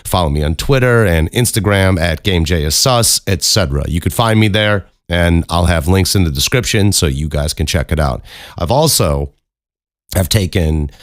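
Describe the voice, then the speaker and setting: A man with a low voice talks casually as a solo podcast host. His delivery is loose and rambling, with an informal, sweary looseness. The sound is close and dry, with very little room in it. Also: lively intonation, moderate pace, no room sound.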